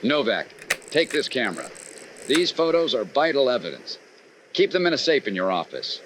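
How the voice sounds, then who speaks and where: An actor playing a brisk, confident, wry male insurance investigator in an old-time radio detective drama. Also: medium pitch, big pitch swings, a bit bright, slight background noise. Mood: brisk, serious.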